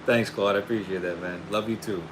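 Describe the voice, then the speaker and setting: A man hosting a casual livestream and pitching his own hair product, upbeat and friendly, saying he is losing his voice. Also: a little fast. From United States. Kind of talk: casual livestream chat, reading viewer questions. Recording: steady air conditioning hum behind.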